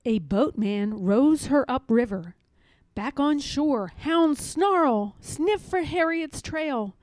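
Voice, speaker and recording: A woman reading a children's picture book aloud in a measured, dramatic narrating style. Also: high voice, expressive, darkish tone, no echo. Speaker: storybook reader.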